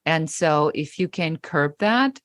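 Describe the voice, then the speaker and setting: A woman's voice: low-pitched, animated, slow pace, fairly bright tone, no room sound, studio quality. A woman with a German accent, a guest on a podcast interview, speaking emphatically and stacking points in rising lists.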